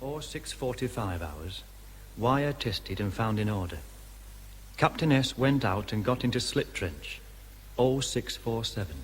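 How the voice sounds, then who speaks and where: An actor playing an army shorthand writer who reports timed entries impersonally in clipped military phrases, with faint noise behind, in a radio drama. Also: male voice, mid-range voice, expressive, unhurried, lightly acted. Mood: impersonal, methodical.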